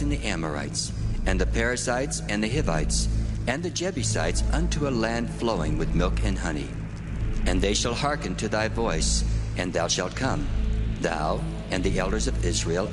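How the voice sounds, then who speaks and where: An actor playing God in a dramatized scripture reading, speaking in a solemn, measured way. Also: male voice, low voice. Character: God, deep commanding divine male voice. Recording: long echoing reverb with music.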